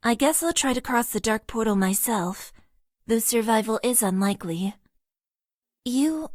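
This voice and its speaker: A woman voicing a shy, formal young mecha pilot in a game, speaking softly in stiff, literal phrases. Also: fairly high pitch, no room sound, clean studio sound. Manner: soft-spoken, stiff and literal. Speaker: voice actress. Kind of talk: game character voice lines.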